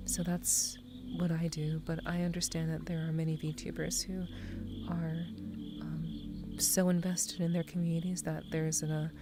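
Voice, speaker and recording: A young woman streamer talking in a soft, soothing half-whisper, her voice a little scratchy after crying, with music behind her. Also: low voice, flat delivery, unhurried. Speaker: VTuber streamer. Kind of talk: ASMR stream chat.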